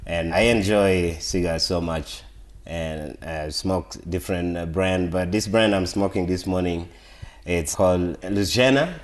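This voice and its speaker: A man in his thirties from northern Uganda, a podcast guest telling his story in casual, soft-spoken talk. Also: sits low, measured pace. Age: 30s. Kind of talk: casual interview chat.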